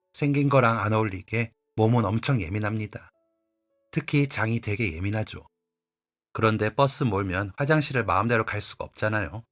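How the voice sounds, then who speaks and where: A man calmly reading a first-person life story as a scripted narration, over faint music. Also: fairly low pitch. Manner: calm, confiding storytelling.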